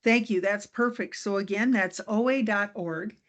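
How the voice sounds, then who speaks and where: Upbeat woman hosting a podcast. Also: mid-range voice. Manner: upbeat and encouraging, with quick asides. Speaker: podcast host. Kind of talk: casual podcast interview.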